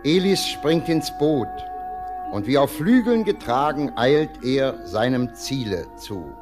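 Lively male radio play narrator. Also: medium pitch, slow pace. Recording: narration over music.

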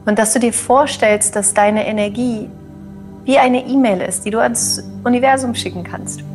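A woman's voice: on the high side, fairly even intonation, normal speed, a little mellow. A woman working as a spiritual coach, speaking a guided meditation for podcast listeners. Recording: new-age music under the voice.

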